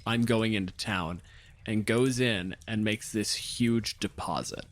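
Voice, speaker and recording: A man's voice: fairly low pitch, slow. A man co-hosting a podcast as game master, speaking in an informal, casual storytelling way. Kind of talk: casual narration and podcast promotion.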